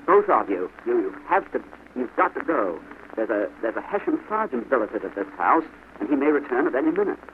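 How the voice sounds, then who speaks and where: A man's voice: medium pitch, sing-song, fairly quick, lightly acted. A man voicing a frantic, anxious mother in a story, agitated and repeating words. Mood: frantic anxiety. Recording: noisy, tinny old radio-like recording.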